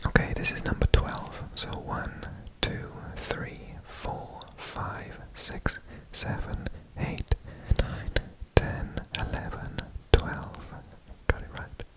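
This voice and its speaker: Whispered ASMR, breathy, very slow, deliberate repetition, host from Wales. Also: poor audio. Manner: slow, deliberate, repeats words. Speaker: ASMR host presenting a personal guessing quiz.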